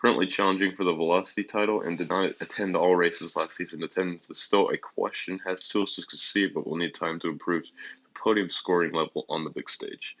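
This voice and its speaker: Deep, creaky teenage male streamer. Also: steady tone, fairly quick, a bit bright. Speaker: livestream host of an online racing league draft. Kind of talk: casual livestream chat with announcer-like list reading. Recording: dull, lo-fi microphone.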